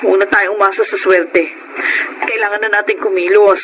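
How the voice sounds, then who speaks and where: A woman's voice: medium pitch, steady tone, brisk, lo-fi sound, background noise, close to an everyday voice. An actress playing an exasperated middle-aged mother in a Filipino radio drama, scolding and fretting with emphatic delivery. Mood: worried, grave. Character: exasperated middle-aged mother worrying over squandered lottery fortune.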